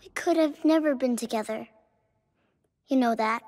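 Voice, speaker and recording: A woman's voice: on the high side, normal speed, a little mellow, natural voice. A woman voicing a tender, solemn, knowing ghost of a little girl in a video game. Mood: gentle, resigned. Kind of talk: game acting, storybook-like delivery.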